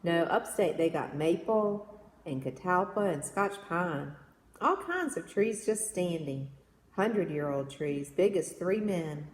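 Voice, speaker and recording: A woman's voice: lowish voice, expressive, takes it slowly. A woman voicing an easygoing, folksy young man who loves nature, in a storybook read-aloud in an echoey room. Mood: folksy, admiring. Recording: echoey reverberant room with background noise.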